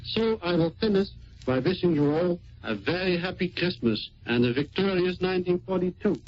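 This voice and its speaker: A man's voice: sits high, animated, moderate pace. A Dutch man with a Dutch accent, a navy sailor reading a scripted wartime radio address in the 1940s. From Rotterdam, Netherlands. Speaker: sailor of the Royal Netherlands Navy. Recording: noisy muffled 1940s radio recording.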